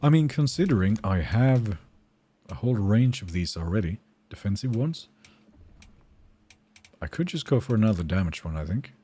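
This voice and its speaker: A man's voice: fairly low pitch, sing-song, normal speed, pauses often, warm tone, husky. A man with a Swedish accent, Finnish by first language, streaming a game and chatting casually, thinking aloud and answering his viewers. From Sweden. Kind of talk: casual live-stream chat while gaming.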